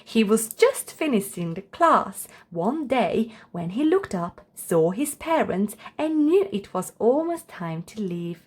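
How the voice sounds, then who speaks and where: A woman's voice: medium pitch, big pitch swings, takes it slowly, few pauses, a little mellow, slightly rough. A woman storyteller reading a children's book aloud as scripted narration.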